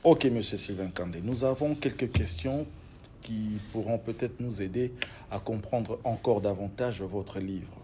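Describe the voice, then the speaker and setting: A man's voice: fairly low pitch, measured pace, warm tone, a little hiss or hum. A man hosting an interview, speaking formally with repeated, emphatic phrasing. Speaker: show host. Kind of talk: interview host.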